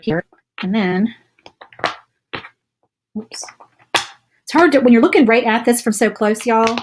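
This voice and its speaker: A woman's voice: fairly high pitch, slow pace, slight background noise. A woman in her thirties from Virginia with a light Southern accent, chatting casually while painting a craft project and answering viewers. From Virginia, USA. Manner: chatty, friendly, thinks aloud while working. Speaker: craft vlogger. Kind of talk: casual chat while painting, reading live comments. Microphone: phone or camera close by.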